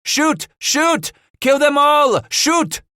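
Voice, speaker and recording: A man voicing a vengeful French frontier fighter in an audiobook, shouting orders in broken English. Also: very high voice, very animated, very slow, dry recording, studio quality, voice pushed up, heavily acted. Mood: furious.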